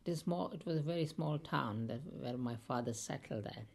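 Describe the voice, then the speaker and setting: A man, recalling his life story as an oral history interviewee, speaking haltingly with many pauses and fillers. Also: medium pitch, vocal fry, darkish tone. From India. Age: 50s.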